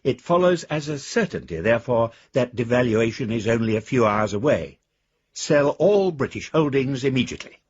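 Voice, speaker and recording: An actor playing a half-mad, disillusioned rich speculator in a radio drama, husky and theatrical, with florid, emphatic delivery. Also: male voice, mid-range voice, animated, moderate pace, no echo, dull audio. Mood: urgent, commanding. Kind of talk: dramatic radio monologue, letters read aloud.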